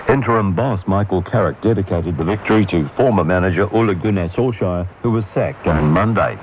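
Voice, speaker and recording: A man reads the radio news in a measured, formal way. His voice sits very low. It comes through a muffled shortwave signal buried in loud hiss. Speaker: newsreader. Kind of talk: scripted radio news bulletin.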